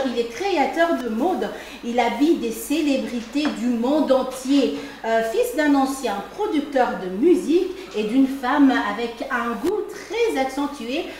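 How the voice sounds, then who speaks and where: A woman hosting a talk show, introducing her guest and asking playful questions. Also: high-pitched. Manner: playful, teasing. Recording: very echoey room with faint noise.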